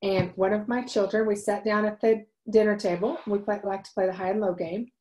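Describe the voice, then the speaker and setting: Earnest forties woman host, loud voice, halting storytelling into a home webcam. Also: medium pitch, fairly even intonation, a little hiss or hum, low-quality recording. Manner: earnest, many ums and restarts, talks with her hands. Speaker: host of a wellness livestream. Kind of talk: casual personal storytelling. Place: home room, indoors. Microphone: webcam at desk.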